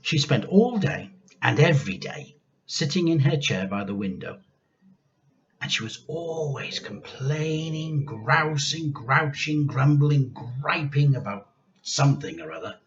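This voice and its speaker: A man reading a children's storybook aloud like a teacher, with expressive, deliberate and emphatic delivery. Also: medium pitch, muffled recording.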